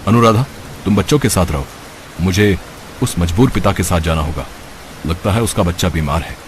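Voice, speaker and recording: A man voicing a selfless, resolute doctor in a cartoon story, speaking gravely and solemnly. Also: deep voice, moderate pace, a little hiss or hum, natural voice. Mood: grave resolve. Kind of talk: Hindi cartoon story character voice.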